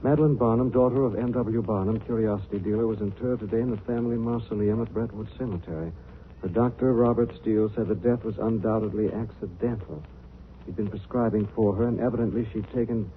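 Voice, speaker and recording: An actor playing an urbane, composed gentleman detective in a 1940s radio drama, speaking calmly and politely. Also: fairly low pitch, close to an everyday voice. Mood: thoughtful. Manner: calm, polite, measured. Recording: tinny, noisy 1940s radio recording.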